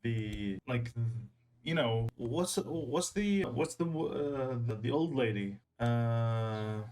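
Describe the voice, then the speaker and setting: A man's voice: medium pitch, expressive, darkish tone, slight background noise. Man giving rambling casual commentary. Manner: rambling, halting, repeats himself. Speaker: reaction video host.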